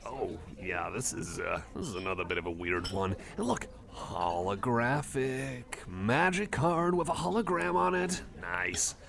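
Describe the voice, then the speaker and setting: A man's voice: mid-range voice, expressive, a little slow, vocal fry, few pauses. A man chatting casually to the listener in an ASMR roleplay, rambling with a hesitant, geeky enthusiasm. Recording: cafe chatter behind the voice. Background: cafe crowd ambience.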